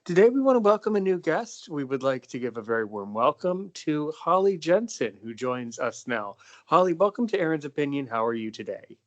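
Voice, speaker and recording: A man's voice: a little high, very animated, brisk, hardly any pauses, lo-fi sound. A young man hosting a podcast interview, talking casually with many fillers and restarts. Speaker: podcast host.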